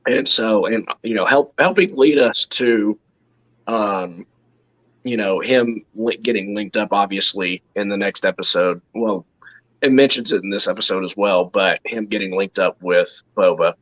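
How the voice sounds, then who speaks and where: A man co-hosting a live fan show, his voice a little off from throat drainage, talking haltingly with many restarts. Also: fairly low pitch, a bit bright, lo-fi sound. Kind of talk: casual live-stream chat.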